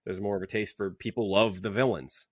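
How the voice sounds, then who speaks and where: A man with a fairly low voice, recorded close and dry. He talks casually as a podcast co-host in a book club discussion, giving his opinions with joking asides. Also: fairly even intonation, fairly quick, dry recording.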